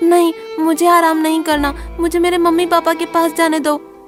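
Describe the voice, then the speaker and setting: A woman voicing a frightened young boy in an animated horror story, pleading and distressed. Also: very high-pitched, moderate pace, music in the background. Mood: confused, distressed.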